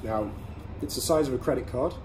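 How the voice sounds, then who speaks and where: A man hosting a casual product review, explaining things conversationally in short, measured phrases. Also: medium pitch, over music. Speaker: podcast host reviewing everyday carry products. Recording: clearly audible ambient background noise.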